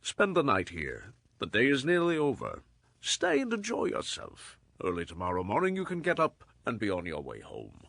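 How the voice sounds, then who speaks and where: A jovial older father-in-law in a biblical audio drama. The voice is raspy and forceful, swinging widely in pitch as he coaxes. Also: wildly swooping pitch, moderate pace, no echo, full character voice. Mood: insistent coaxing. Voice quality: gravelly, raspy. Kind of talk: dramatized scripture audio acting.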